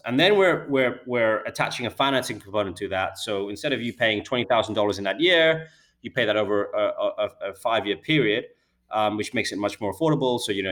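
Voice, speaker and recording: A British man speaks quickly in a somewhat low voice, tumbling through his answers with repeated words and restarts. He sounds like a founder in his thirties being interviewed. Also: fairly bright tone. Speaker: healthcare data company founder.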